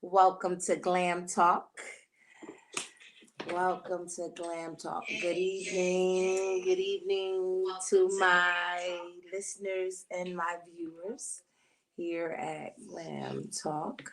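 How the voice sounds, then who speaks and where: A woman with a raspy voice hosting a casual live podcast, talking earnestly and repeating herself often. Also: lowish voice, little pitch movement, takes it slowly, slight background noise, rough recording. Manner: repetitive, earnest, many restarts. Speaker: podcast host. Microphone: handheld mic.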